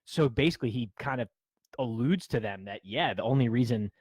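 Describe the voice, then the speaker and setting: Podcast co-host, male, rapid chatty delivery, dry close mic. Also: medium pitch, animated, a little mellow, breathy edge, no room sound. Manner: fast, chatty, talks over others. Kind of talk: casual chat. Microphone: studio mic.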